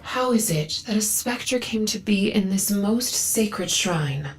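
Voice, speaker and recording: An actress playing a devout, earnest female zealot guarding a sacred forest in a video game, reverent, solemn and measured. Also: medium pitch, animated, faint music behind.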